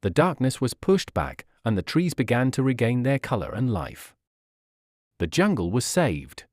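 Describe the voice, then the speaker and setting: Male narrator for kids' storybook: medium register, lively melodic delivery, dry recording. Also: mid-range voice, normal speed, no room sound. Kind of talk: scripted children's fairy tale narration.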